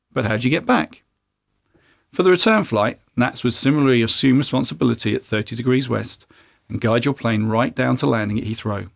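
A man, presenting a scripted explainer in a clear, measured way, addressing the listener directly. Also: mid-range voice, dry recording, clean studio sound. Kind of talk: scripted narration to camera. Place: studio, indoors.

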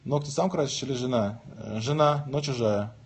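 A man's voice: medium pitch, a little hiss or hum. A man in his thirties lying in bed, chatting on a livestream and joking as he reads viewer questions aloud and answers them. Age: 30s. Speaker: livestream host. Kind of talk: casual livestream chat reading viewer questions. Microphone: phone held at arm's length.

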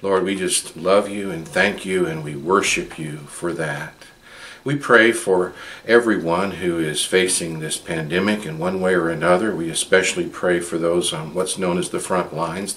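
A man's voice: low-pitched, fairly bright tone. A man in his fifties greeting a church online from his living room and leading an earnest spoken prayer, sometimes repeating words.

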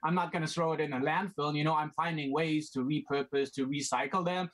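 A man in his thirties from Germany with a German accent, an energetic company founder talking in a remote TV interview from a small room. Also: fairly high pitch, a little fast. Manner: energetic, gestures a lot, frequent "you know". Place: small indoor room at home. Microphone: video call.

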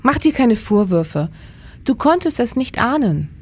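Woman playing a supportive police investigator in a crime audio drama, husky voice, attentive. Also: a little high, sing-song, unhurried, darkish tone. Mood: consoling. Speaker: radio drama actor. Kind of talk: German crime radio play acting.

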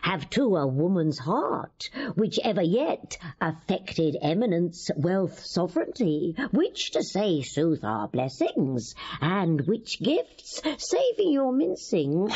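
Husky, teasing old court lady. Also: lowish voice, very animated, takes it slowly, few pauses, a little mellow, lo-fi sound, exaggerated voice. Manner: teasing, mocking, worldly. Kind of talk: classical Shakespearean radio drama acting.

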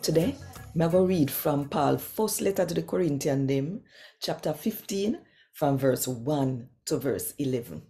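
An older woman with a Jamaican accent reads scripture expressively. Her voice is low and creaky, and she takes her time, landing each phrase with deliberate emphasis. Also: lively intonation, mellow, soft background music. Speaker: host and scripture reader. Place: small quiet room indoors.

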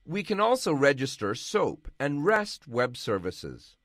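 A man giving scripted, measured narration for a software tutorial. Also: mid-range voice, big pitch swings, dry recording, clean studio sound. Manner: measured, instructional.

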